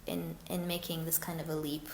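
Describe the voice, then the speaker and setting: A woman's voice: low-pitched, little pitch movement, a little fast, vocal fry, darkish tone, echoey room, slight background noise. A woman speaking as a guest in a live online Q&A, talking earnestly with many fillers and restarts. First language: English. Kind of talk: live webinar Q&A.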